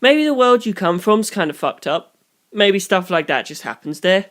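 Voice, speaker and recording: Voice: mid-range voice, expressive, moderate pace, fairly bright tone, close to an everyday voice. A reader voicing a blunt, squeamish young man in an audiobook, casual and exclamatory in manner. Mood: disgusted, blunt.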